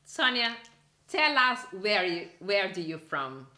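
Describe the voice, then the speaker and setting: A woman hosting an interview who speaks haltingly, with frequent restarts and loose phrasing. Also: mid-range voice, sing-song, bright, forceful tone, roomy sound. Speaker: interview host. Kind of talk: interview questions.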